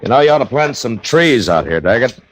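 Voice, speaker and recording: An actor playing a steady, plainspoken frontier marshal with measured delivery in a 1950s radio western. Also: male voice, medium pitch. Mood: frank, steady. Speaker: lead actor and narrator. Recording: vintage radio drama recording.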